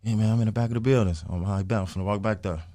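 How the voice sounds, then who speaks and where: A man's voice: on the low side, rapid-fire, mellow, no echo, studio quality. A man, telling stories casually in heavy slang, emphatic, swearing and repeating phrases. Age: early twenties. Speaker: interview guest, music artist.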